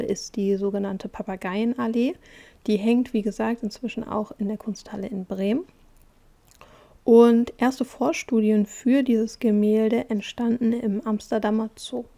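A woman narrating a voice-over art quiz, explaining clues in a calm, measured way with small hesitations. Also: a little high.

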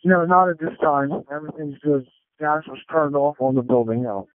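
A man's voice: on the high side, normal speed, no room sound. A man, a fire officer on scene, giving matter-of-fact reports over two-way radio. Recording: tinny, dry radio transmission.

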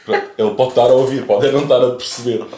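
A man's voice: medium pitch, steady tone, mellow, reverberant, a little hiss or hum. A young man, co-hosting a podcast, joking and teasing in casual banter. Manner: joking, teasing, quick to laugh. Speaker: podcast co-host reacting to a video. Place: small wood-panelled studio room.

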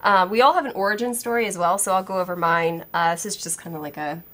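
A woman in her thirties, co-hosting a board game show, explaining rules in a clear, upbeat, instructive way. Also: on the low side, fast talker, fairly bright tone. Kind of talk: rules explanation and casual play chat. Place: home studio set with brick wall, indoors.

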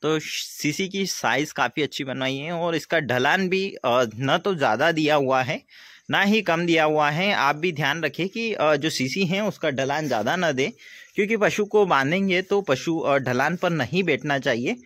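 A man talks in a slightly high voice. He explains things casually as a farmer vlogging on his phone, pausing and repeating himself. The sound is dry, with no room echo. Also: a bit bright. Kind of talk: casual explanatory vlog walkthrough. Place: outdoors in an open-sided farm shed. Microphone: phone at arm's length.

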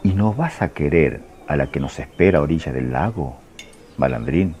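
A man's voice: very deep voice, expressive, normal speed, a little mellow, slight background noise. An actor playing a plain-spoken middle-aged fisherman, folksy, chatty and fond, in a religious radio drama. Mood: teasing.

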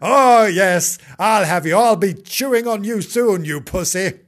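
A storyteller voicing a hungry male dog who makes loud, relishing threats. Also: mid-range voice, a little slow, voice pushed up, natural voice. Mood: menacing. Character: menacing, hungry male dog taunting a kitten.

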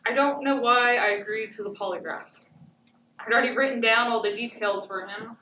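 A teenage woman playing a haunted, self-blaming battered wife in a measured, emphatic stage monologue. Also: fairly high pitch, bright, forceful tone, lo-fi sound. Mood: anguished, bitter. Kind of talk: high school stage monologue. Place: indoor theatre stage. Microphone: far camera. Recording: echoey noisy theatre, distant microphone.

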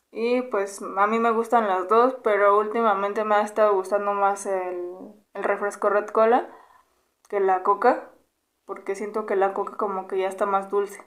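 A woman in her twenties from Mexico with a Mexican Spanish accent, answering viewer questions in a relaxed, chatty vlog, humming between questions and recorded on a camera held close in a small bedroom. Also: medium pitch, unhurried. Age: 20s. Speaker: YouTuber. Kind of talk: casual Q&A vlog.

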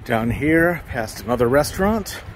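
A man narrating a walking tour of a town's streets in a relaxed, musing, meandering way, recorded on a camera mic as he walks. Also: mid-range voice, moderate pace. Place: outdoors on city streets. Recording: outdoor street noise behind. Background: passing cars and street ambience.

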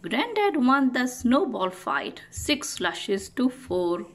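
A woman reading a children's picture book aloud as host, expressive and deliberate for young listeners. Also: on the high side. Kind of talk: storybook read-aloud.

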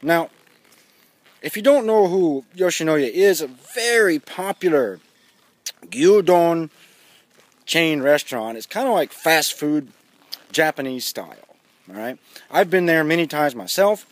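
A man in his thirties speaks as an opinionated vlogger, blunt and emphatic. His voice sits fairly high and swings widely in pitch. He is recorded outdoors at arm's length, with a dry, echo-free sound. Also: animated, a little slow, long pauses. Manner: blunt, emphatic, sardonic, with swearing. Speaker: vlogger giving news commentary. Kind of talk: casual, opinionated commentary to camera. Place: outdoors, walking in a residential neighborhood. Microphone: phone or camera at arm's length.

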